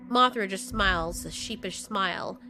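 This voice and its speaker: A woman's voice: mid-range voice, moderate pace, music in the background. A woman narrator reading a scripted story in an audio drama.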